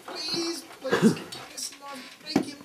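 Puppet-show servant played by a performer: small, timid, pleading, high voice, slowish, distant echoey sound. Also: steady tone. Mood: frightened, pleading. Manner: pleading, anxious. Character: timid, fretful small page serving a prince. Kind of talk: puppet theatre fairy tale. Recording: distant echoey stage, noisy.

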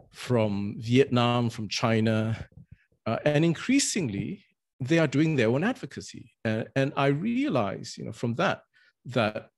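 A middle-aged man from Singapore talks earnestly over a video call, pitched at an ordinary middle register. He often restarts his phrases and adds fillers as he explains his points. His voice comes through a webcam microphone. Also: darkish tone. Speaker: barrister and arbitrator. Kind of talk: webinar talk. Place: indoors with virtual green backdrop.

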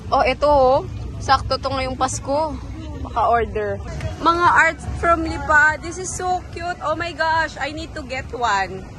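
A woman in her thirties with a Filipino accent, vlogging outdoors on a phone at arm's length, excitable and gushing, mixing English into Tagalog. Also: high voice, slow pace, noisy background. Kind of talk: casual travel vlog. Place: outdoors at a town plaza and market. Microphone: phone held at arm's length.

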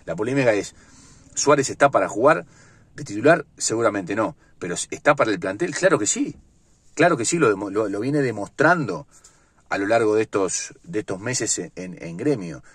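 A man's voice: lowish voice, animated, normal speed, fairly bright tone, slightly rough, dry recording. A man in his forties with a Uruguayan Spanish accent, ranting bluntly about football from inside a car, with many fillers and restarts. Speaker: sports vlogger. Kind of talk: opinionated solo football commentary. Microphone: phone or webcam at arm's length.

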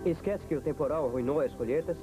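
Actor as a higher-pitched, skeptical Brazilian man. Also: quick pace, over music, close to an everyday voice. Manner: stern, challenging. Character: skeptical, practical man challenging a headstrong woman. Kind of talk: dubbed 1970s telenovela acting.

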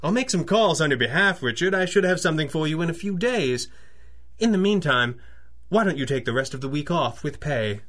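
A man playing a wealthy, composed young gentleman in a 1930s-style radio drama, speaking in a dry, formal, polite way. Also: a little high, expressive, a little fast. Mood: dry, commanding.

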